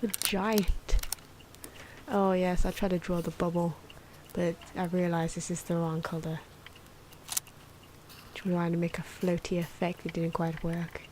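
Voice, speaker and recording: A woman talks softly in a lowish voice, sometimes slipping into a whispery tone. She muses and corrects herself in an unscripted ASMR drawing commentary. Faint ticking and pen scratching sit behind her. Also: level tone, measured pace, long pauses, slight background noise, rough recording. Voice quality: soft-spoken, at times whispery. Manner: unscripted, musing, self-correcting. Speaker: ASMR artist narrating own drawing. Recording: clock and pen noises behind. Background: ticking clock, pen scratching.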